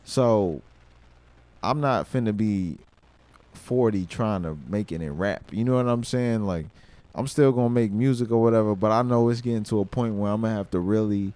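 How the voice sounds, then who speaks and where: A man in his thirties livestreaming, a rapper talking casually and swearing freely, mixing thoughtful musing with loud excitement. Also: lowish voice, normal speed. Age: 30s. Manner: reflective, then loud and excited with laughter and swearing.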